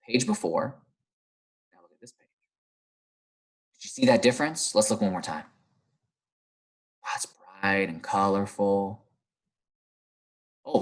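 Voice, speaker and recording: A man teaching young children through an expressive read-aloud, pausing to explain and ask encouraging guiding questions. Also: fairly low pitch, breathy edge. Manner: animated, encouraging, explanatory, pauses to question listeners. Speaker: primary school teacher reading aloud.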